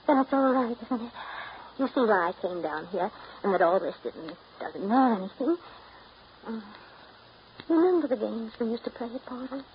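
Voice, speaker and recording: Radio drama, late 1930s: actress as clinging, self-pitying woman, emotional and halting delivery, muffled recording. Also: fairly high pitch, animated, slight background noise, close to an everyday voice. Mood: wistful coaxing. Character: restless, needy, self-pitying woman afraid of getting old.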